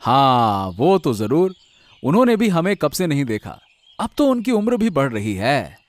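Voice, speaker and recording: A man's voice: a little high, huge pitch swings, a little slow, no room sound. A man playing a bossy but caring husband in an acted story, chatty, emphatic and affectionately nagging. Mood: affectionate, planning. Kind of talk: acted Hindi audio story dialogue.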